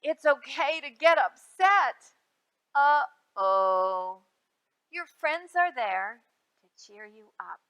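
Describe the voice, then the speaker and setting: A woman hosting a storytime for young children, reading aloud in a sing-song way with drawn-out, emphasized phrases and simple prompts to her listeners. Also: high voice, big pitch swings, sharp tone, rough recording. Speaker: children's librarian and storytime host.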